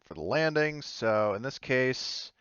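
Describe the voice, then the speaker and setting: A man's voice: mid-range voice, expressive, measured pace, a bit bright, clear, resonant tone, dry recording, dull audio. A man acting as game master, narrating casually and informally with some swearing.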